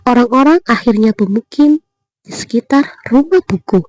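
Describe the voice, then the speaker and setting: A woman storyteller narrating a bedtime fairy tale with expressive, deliberate phrasing. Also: a little high, no echo.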